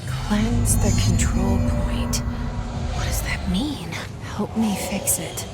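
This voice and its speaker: An actress playing a wary young woman in a video game, speaking in intimate, breathy, musing tones. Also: medium pitch, takes it slowly, lightly acted. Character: young woman, wary newcomer to a haunted agency, inwardly musing. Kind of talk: video game acting, hushed inner monologue and dialogue. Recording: loud music and ambience behind voice.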